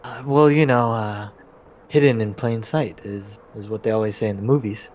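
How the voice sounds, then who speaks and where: Hesitant, halting man at a game table. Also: fairly low pitch, a little mellow, slight background noise. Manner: hesitant, halting delivery with trailing phrases. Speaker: player in a tabletop role-playing game. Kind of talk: improvised in-character tabletop dialogue.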